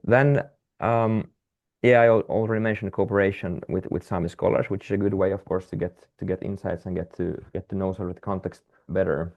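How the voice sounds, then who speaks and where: A man's voice: low-pitched, steady tone, a little fast, a little mellow, lo-fi sound. A Finnish-speaking man, a guest researcher, talking hesitantly with many restarts and fillers on a video call. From Finland. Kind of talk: webinar conversation.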